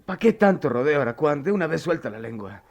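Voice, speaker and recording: A man's voice: fairly high pitch, very animated, vocal fry, exaggerated voice. An actor playing a troubled, proud, streetwise poor boy in a radio drama, with a Mexican accent and slangy, impassioned delivery. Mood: startled, insistent. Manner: slangy, impassioned, halting when cornered.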